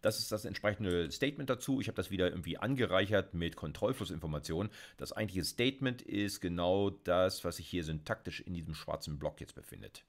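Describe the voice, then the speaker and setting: A man's voice: sits low, a little fast, hardly any pauses. A middle-aged man giving a recorded university lecture on programming, talking through slides in a chatty way with many fillers. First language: German. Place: small dark room at a desk.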